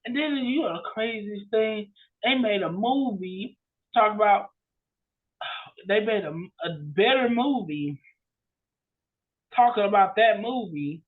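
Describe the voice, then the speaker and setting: A man with a notably high voice chats casually as a podcast co-host. He rants and tells stories in slangy, exclamatory bursts. The recording is a bit dull and lo-fi. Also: lively intonation, slow pace, long pauses. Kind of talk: casual chat, storytelling and ranting.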